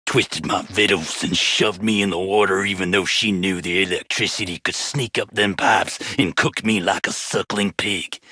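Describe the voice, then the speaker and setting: A man's voice: deep voice, sharp tone, slightly rough, no echo, clean studio sound, exaggerated voice. An actor playing a sadistic, self-righteous Southern lawman in a video game, with a folksy, preachy, menacing way of talking and a foul mouth. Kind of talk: villain monologue voice lines for a game.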